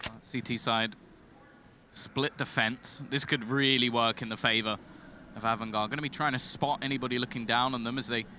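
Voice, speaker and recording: A man calling an esports match play by play, energetic and rising to shouts on big plays. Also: medium pitch, brisk, slight background noise. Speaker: esports caster. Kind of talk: play-by-play commentary.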